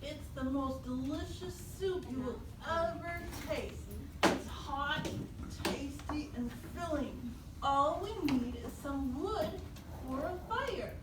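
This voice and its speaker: A hungry little animal traveler, acted by a woman for a puppet show, with a dreamy, wistful way of talking. The voice is high and slow, set back in an echoey room with noticeable noise. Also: lively intonation, distant mic. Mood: enticing. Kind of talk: live puppet show acting.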